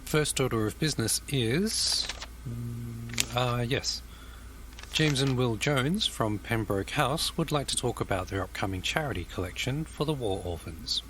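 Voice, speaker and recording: An actor playing a kind, formal old-school gentleman who chairs a meeting politely in an audio drama. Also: mid-range voice, a little slow, noisy background, natural voice. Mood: genial, formal.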